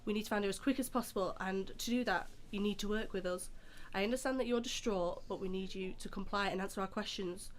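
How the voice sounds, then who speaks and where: A woman playing a firm, formal police interrogator in an audio drama, with a measured official manner. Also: mid-range voice, natural voice. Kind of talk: dramatic audio scene acting. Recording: clear background noise.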